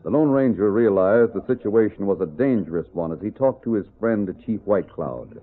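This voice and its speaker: An actor narrating an old-time radio western in a dramatic, scripted storytelling style. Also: male voice, fairly low pitch, lively intonation, normal speed, phone-quality sound. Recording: band-limited vintage radio recording.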